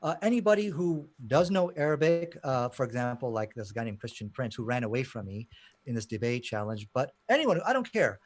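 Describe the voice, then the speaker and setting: A man's voice: medium pitch, very animated, fairly quick. A man from Pakistan arguing emphatically in a live online debate. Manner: emphatic, combative, fond of "you know".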